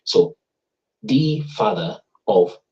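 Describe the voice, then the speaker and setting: A man's voice: lowish voice, big pitch swings, drawn-out words, darkish tone. A man hosting a casual talk, explaining in a teacherly way and tagging his points with "right?" and "you see". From Africa. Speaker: host explaining language links. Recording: dull lo-fi sound.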